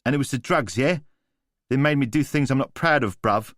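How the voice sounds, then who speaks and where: A male narrator voicing a swaggering young criminal who talks in street slang, pitched up from his own voice. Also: brisk, a bit bright, dry recording, studio quality, natural voice. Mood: cocky.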